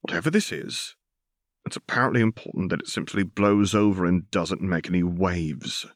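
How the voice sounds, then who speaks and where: A grim, practical man , acted out by a male narrator, takes charge in a businesslike way in an audiobook. His voice sits low and the sound is dry. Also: normal speed, no echo, lightly acted. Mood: brisk.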